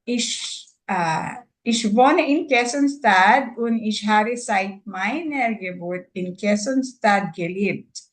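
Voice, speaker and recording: A woman in her sixties with a Filipino accent and Tagalog as her first language, introducing herself haltingly as a student in a German class. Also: medium pitch, low-quality recording. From Philippines. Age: 60s. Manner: halting, hesitant learner with many fillers.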